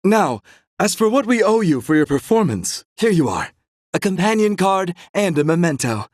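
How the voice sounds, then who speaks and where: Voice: mid-range voice, sing-song, moderate pace, dry recording, studio quality, natural voice. An actor playing an effusive, theatrical concert host in a video game, with florid, grandiose phrasing. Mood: effusive, gracious. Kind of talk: video game character acting.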